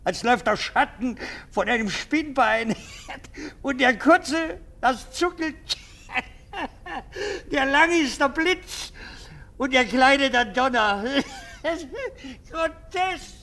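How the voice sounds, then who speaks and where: An actor playing a pompous, sentimental and anxious army captain in a theatrical German radio drama. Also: male voice, extremely high pitch, expressive, drawn-out words, bright, forceful tone, exaggerated voice. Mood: giddy derision. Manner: theatrical, swinging from exclamation to insinuating murmur.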